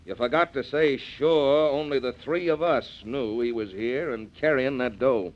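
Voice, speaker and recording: An actor playing a hot-tempered cowboy airline partner with a drawl, in 1940s radio acting style. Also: male voice, medium pitch, unhurried, close to an everyday voice. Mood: scheming. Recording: dull lo-fi old radio recording.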